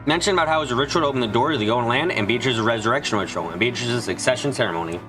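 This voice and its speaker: A man reading a visual novel aloud as an expressive fan dub, narrating and voicing dialogue with the odd stumble. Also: medium pitch, very fast, music in the background, poor audio.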